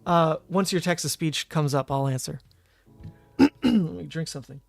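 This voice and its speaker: Male streamer, hoarse and rambling, a touch high-pitched. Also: a little slow. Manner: rambling and chatty, frequent "uh" and "like". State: hoarse, voice partly gone. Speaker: card game streamer. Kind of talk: casual livestream chat.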